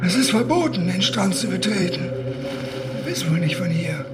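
An eerie woman who warns a child in an insistent, dreamlike tone. Steady ambient noise hangs behind her voice in this radio drama. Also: fairly low pitch, moderate pace. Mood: ominous. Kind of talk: radio play acting.

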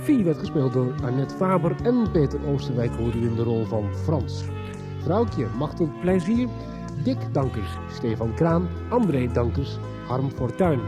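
A man's voice: mid-range voice, big pitch swings, measured pace. A man reading scripted closing credits as an announcer. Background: music.

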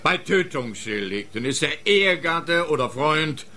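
An actor playing a pedantic, curt police detective in a radio play, with a sharp, creaky voice. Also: male voice, a little high, lively intonation, unhurried, slight background noise. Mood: stern.

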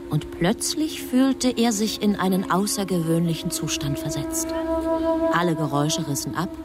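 A woman performing as the grave, composed narrator of a literary radio drama. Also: low voice. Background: music.

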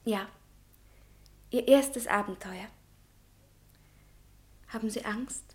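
A woman's voice: fairly high pitch, slow pace. An actress playing a self-assured young woman who speaks in a pointed, teasing way, in a radio play.

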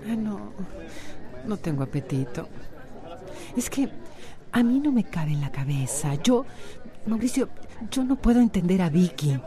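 An actress with a Costa Rican accent playing a troubled, worried woman in a radio drama, halting and repeating her words. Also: medium pitch, sing-song, mellow.

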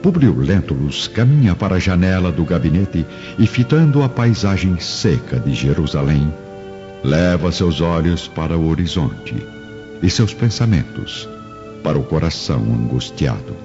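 A man's voice: deep voice, very animated, normal speed. A man with a Brazilian accent narrating a scripted radio drama. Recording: dull lo-fi audio with music.

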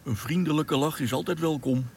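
A man's voice: a little high, brisk, vocal fry, a little mellow, breathy edge. An actor playing an eager, cheeky spaceship crewman in a radio drama, colloquial and impulsive.

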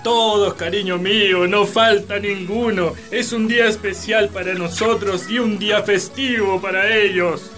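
A man's voice: high-pitched, steady tone, unhurried, few pauses, a bit bright. A man playing a middle-aged, wealthy landowner and doting father in a radio drama, affectionate and grand. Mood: doting, proud. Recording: music behind radio drama dialogue.

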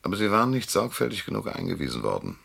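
A man's voice: fairly low pitch, huge pitch swings, fast, gravelly, fairly bright tone, breathy edge, no room sound, full character voice. An actor playing a world-weary male private detective in a German hardboiled radio drama, dry, laconic and self-mocking. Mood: terse, probing.

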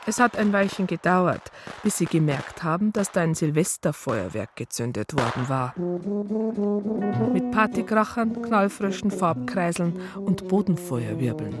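A woman's voice: fairly low pitch, animated, measured pace, over music. A woman playing an educated police psychologist in a radio crime drama, with a Bavarian accent and an earnest, wry, argumentative way of talking. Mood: wry narrating. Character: female police psychologist, educated, earnest, probing, wryly narrating.

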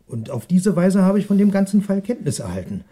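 A man's voice: sits high, big pitch swings, brisk, warm tone, slightly rough, heavily acted. A male actor playing a cornered, apologetic ex-policeman and shopkeeper, speaking hesitantly and defensively in a 1960s German radio crime drama. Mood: halting confession.

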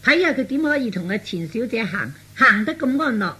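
A man's voice: extremely high pitch, sing-song, a little fast, sharp tone, breathy edge, pitched above the performer's own voice, exaggerated voice. A man voicing a petulant, lovesick young daughter, whining and insistent, in Cantonese storytelling drama. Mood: indignant, vengeful.

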